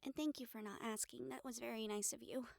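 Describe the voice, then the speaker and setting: A woman playing a troubled, emotive newborn vampire and former hunter in an audio roleplay, speaking with sighs and pauses. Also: fairly high pitch, fairly even intonation, creaky, dry recording, studio quality. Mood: wistful, subdued. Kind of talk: dramatic audio roleplay acting.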